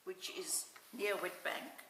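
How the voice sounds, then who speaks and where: Woman in her seventies, South African accent, bright edge to the voice, unhurried life-story telling, echoey room with faint hiss. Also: fairly low pitch, steady tone, distant mic. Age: 70s. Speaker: oral history interviewee. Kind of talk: reminiscing life story in an interview.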